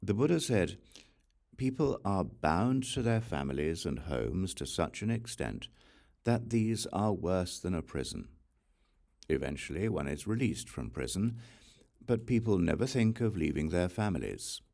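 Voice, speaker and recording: Male audiobook reader, scripture narration, very low pitch, lively melodic intonation, solemn, measured delivery. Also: creaky.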